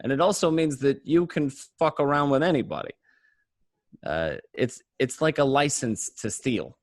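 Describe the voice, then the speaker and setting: A man acting as an excitable mobster who tells his crime story conversationally, tripping over and repeating words. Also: mid-range voice, normal speed, no echo, clean studio sound, lightly acted. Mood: boastful. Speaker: amateur actor in an online radio play. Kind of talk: first-person dramatic monologue, conversational.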